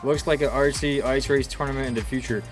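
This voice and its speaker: A young man from Ukraine talks in a loose, easygoing vlog manner at a middling pitch. He is recorded outdoors with clear music playing behind him. His phrasing is relaxed and full of casual filler. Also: fast talker. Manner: laid-back, chatty, loose phrasing with "dude" and "like". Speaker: RC car channel host. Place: outdoors, windy day.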